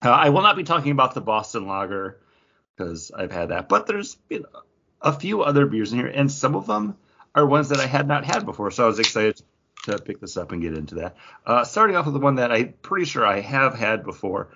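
A man's voice: mid-range voice, very animated, a little fast, pushed and bright, muffled recording. A man co-hosting a podcast in casual chat, with a dry, teasing manner. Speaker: podcast co-host.

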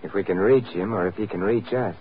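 An actor playing a suave, wisecracking, tough but gallant adventurer in a 1940s radio drama, with dry, wry banter. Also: male voice, fairly low pitch, fairly quick, close to an everyday voice. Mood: reassuring, wry. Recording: muffled, narrow, noisy old radio recording.

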